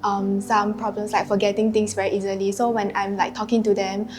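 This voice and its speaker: A woman's voice: medium pitch, level tone, fairly quick, darkish tone, slight background noise. A woman of nineteen with a Singaporean accent, chatting with many "um"s and "like"s. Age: late teens. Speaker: university student. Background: guitar music.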